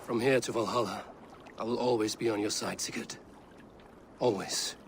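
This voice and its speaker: An actor playing a loyal, steady Viking warrior with a plain, dry manner in a game cutscene. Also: male voice, fairly low pitch, normal speed, a little hiss or hum, natural voice. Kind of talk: video game cutscene acting.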